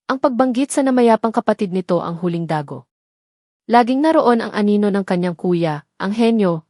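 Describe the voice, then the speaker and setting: A woman's voice: mid-range voice, no room sound, studio quality. A woman reading a scripted dramatic story as its narrator.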